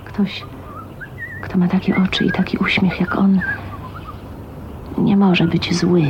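An actress playing a shy, lonely, dreamy young spinster in a radio drama, speaking hesitantly in a hushed, intimate way. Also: female voice, on the low side, level tone, takes it slowly. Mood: dreamy musing. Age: young adult. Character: shy, lonely, dreamy 29-year-old spinster, wistful and wounded. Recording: dull, noisy 1980s radio drama recording.

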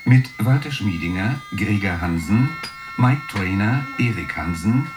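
A man reading radio credits in a formal, measured, scripted manner. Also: lowish voice, expressive.

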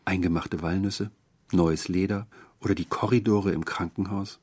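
A man's voice: deep voice, fairly even intonation, moderate pace, darkish tone, slightly rough. A man reading literary prose as a scripted storyteller, narrating a tale. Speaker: narrator, reader.

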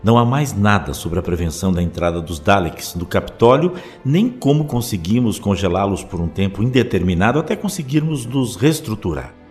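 A man playing a wary, learned alien former professor in an audio drama, explaining things gravely. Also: lowish voice, animated, brisk, few pauses, close to an everyday voice. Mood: troubled. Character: learned, wary male Time Lord, reclusive former professor. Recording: faint music under the voice.

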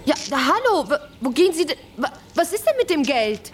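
An actress playing a flustered female maths teacher in a radio drama, stammering and shrill. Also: very animated, brisk, slight background noise.

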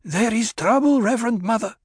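A man's voice: very high-pitched, brisk, breathy edge, dry recording, clean studio sound, voice pushed up. A man voicing an urgent, earnest tribeswoman in an audiobook, speaking in plain declarations. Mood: anxious. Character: urgent tribeswoman bringing word to her priestess.

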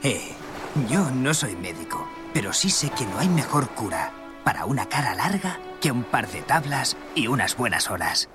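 A man's voice: mid-range voice, sing-song, normal speed, over music, natural voice. A man playing an easygoing, friendly young surfer.

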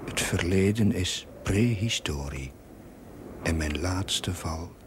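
Slow, low-voiced, weary young chaplain. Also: male voice. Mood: wryly solemn. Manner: slow, measured, literary, often dropping to a whisper. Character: young ailing chaplain, weary, wryly reflective diarist. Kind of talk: dramatic diary narration for a television drama. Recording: noisy old 1970s television soundtrack.

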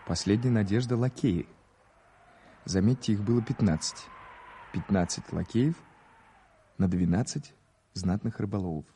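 An actor playing an eager, ironic American newspaper correspondent in a radio play, theatrically formal and wry. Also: male voice, on the low side, moderate pace, pauses often, dark tone, slight background noise, close to an everyday voice. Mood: wry, exasperated. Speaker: actor narrating and playing a reporter. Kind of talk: radio play storytelling and dialogue.

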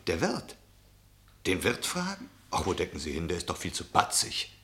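A man's voice: sits low, wildly swooping pitch, normal speed, pushed and bright, full character voice. An actor playing a nosy, self-satisfied travelling salesman in a German radio play, chatty and pompous. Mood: curious, needling. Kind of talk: German radio drama acting.

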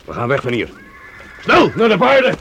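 An actor playing an arrogant, brutal medieval knight in a radio play, giving contemptuous orders. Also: male voice, high voice, wildly swooping pitch, normal speed, full character voice. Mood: commanding. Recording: loud hiss on an old tape.

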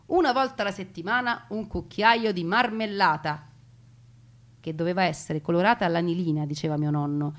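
A woman delivering theatrical scripted narration in a deliberate, emphatic, mock-solemn manner, like a stage storyteller. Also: fairly low pitch.

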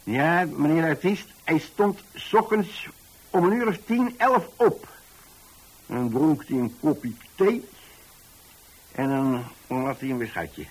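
A man's voice: a little high, lively intonation, unhurried, husky, poor audio. An actor playing an older retired landlord, tolerant and folksy, who tells his story hesitantly and repeats himself in a radio monologue. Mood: chatty, tolerant.

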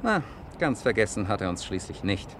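An actor playing a sardonic, smug young defence lawyer in a 1960s German radio play, speaking in a dry, ironic way. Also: male voice, lowish voice, big pitch swings, moderate pace, a bit bright. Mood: smug, joking. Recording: noisy 1960s radio recording with clicks.

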